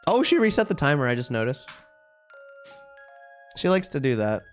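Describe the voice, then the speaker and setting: A video game streamer chatting casually with viewers on a live stream, rambling and thinking out loud. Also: mid-range voice, big pitch swings, normal speed, faint music behind.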